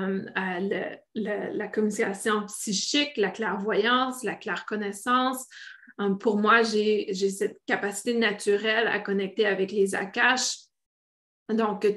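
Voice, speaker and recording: French Canadian woman, halting unscripted talk. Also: mid-range voice, a bit bright. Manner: halting, searching for words, repeating phrases. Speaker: spiritual teacher hosting a live stream. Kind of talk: unscripted live talk to online viewers.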